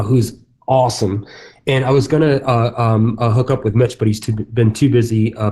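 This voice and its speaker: A man's voice: medium pitch, warm tone. A man who works as a real estate agent, speaking casually at a conference session, loose with fillers and restarts. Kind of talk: conference stage talk.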